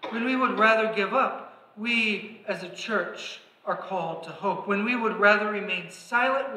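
Middle-aged male preacher, high and bright, earnest and emphatic, pulpit mic in an echoey church. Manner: earnest, rising to emphatic exhortation, gesturing. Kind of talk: sermon. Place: large church sanctuary, at a pulpit. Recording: echoey sanctuary reverb.

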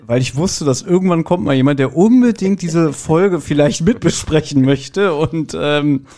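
Man, soft mellow tone, casual podcast guest, conversational review chat. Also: on the high side, normal speed.

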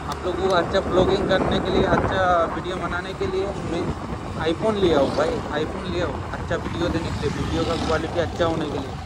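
High-voiced man pleading over loud road noise. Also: flat delivery, a little fast. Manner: pleading, repetitive. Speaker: motovlogger. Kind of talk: casual vlog chat to viewers while riding. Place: outdoors on a moving two-wheeler. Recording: loud wind and traffic noise. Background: road and vehicle noise.

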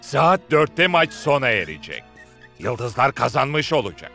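An actor playing a scheming, menacing tycoon villain in a cartoon dub, commanding and gloating. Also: male voice, mid-range voice, big pitch swings, a little slow, vocal fry, pushed and bright, soft background music, exaggerated voice.

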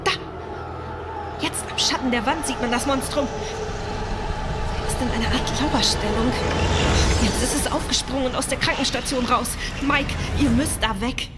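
A woman's voice: sits high, moderate pace, pauses often, lightly acted. Woman playing a watchful, tense bridge officer. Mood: ominous. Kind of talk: German sci-fi horror radio drama acting. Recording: loud music behind the voice.

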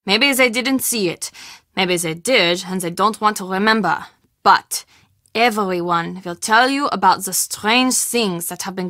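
An actress playing a friendly, superstitious castle shopkeeper in a video game, confiding and expressive. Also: female voice, medium pitch, no room sound, clean studio sound. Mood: hushed, confiding.

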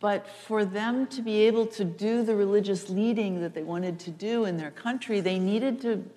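A woman in her sixties, a pastor preaching a storytelling sermon with deliberate, emphatic repetition. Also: mid-range voice, dark tone. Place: large church sanctuary, seated at front. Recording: echoey church hall, long reverb.

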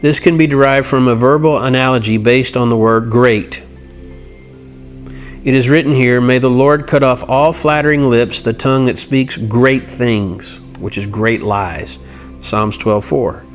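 A middle-aged man teaching from scripture in an earnest, halting way, reading passages and explaining them. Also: medium pitch, soft background music. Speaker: Bible teacher. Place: indoor room by a large window. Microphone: lapel mic.

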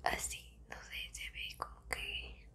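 Whispering young Mexican woman, slow ASMR. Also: rumbling low, completely flat delivery. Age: 20s. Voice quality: breathy unvoiced whisper. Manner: soft-spoken, intimate, unhurried. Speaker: ASMR video creator. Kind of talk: whispered ASMR, casual chat. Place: small indoor room at home. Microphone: close microphone.

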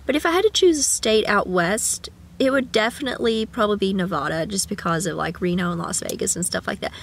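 A woman's voice: medium pitch, fast, slight background noise. Sniffly young woman vlogging from her car. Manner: rambling, chatty, with sing-song asides. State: sick with a cough. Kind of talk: casual chat. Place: parked car. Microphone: camera at arm's length.

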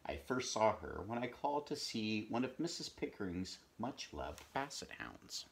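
An older man reads a storybook aloud in a measured, expressive way, recorded at home in a small room. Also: fairly low pitch, gravelly. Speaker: storybook reader. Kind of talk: read-aloud storybook narration. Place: small home bedroom, indoors.

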